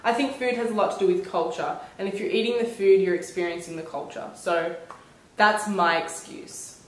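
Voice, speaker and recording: A young woman talks in a casual, chatty vlog style with a mid-range voice. She is an animated storyteller who slips into acting out what people said. The sound is roomy, with noticeable echo. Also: mid-range voice, moderate pace. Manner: animated storyteller, acts out reported dialogue. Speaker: travel vlogger.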